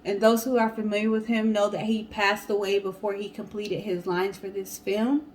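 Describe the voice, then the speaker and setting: A woman co-hosting a show, telling stories and chatting casually to the audience in an animated way. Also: medium pitch, normal speed, a little hiss or hum, low-quality recording. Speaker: co-host of a storytelling guessing show. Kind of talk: casual storytelling and chat to viewers.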